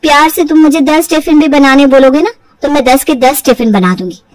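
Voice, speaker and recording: A woman voicing a caring, slightly put-upon young daughter-in-law, earnest and expressive, in an acted storybook. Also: high voice, a little fast, dry recording, lightly acted. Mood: eager, caring. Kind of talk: acted Hindi audio storybook.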